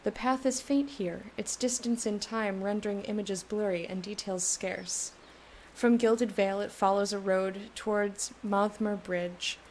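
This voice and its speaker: A woman's voice: medium pitch, darkish tone, slight background noise, rough recording. A woman who hosts a let's play, reading the game's text aloud and chatting casually.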